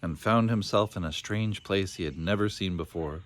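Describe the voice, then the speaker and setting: Low-voiced man reading a children's story. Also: moderate pace. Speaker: storybook reader. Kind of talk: scripted children's story narration.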